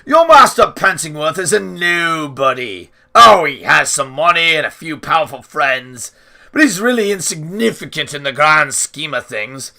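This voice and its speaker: A man's voice: fairly high pitch, very animated, moderate pace, gravelly, pushed and bright, heavily acted. A man voicing an imperious, hot-tempered old lord and doting grandfather in a storybook, blustering when crossed. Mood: suspicious, scornful.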